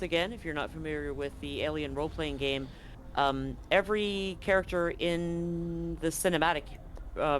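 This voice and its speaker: A man's voice: a little high, a bit bright, a little hiss or hum. A man acting as game master, narrating a live tabletop roleplaying game and explaining things to players and audience.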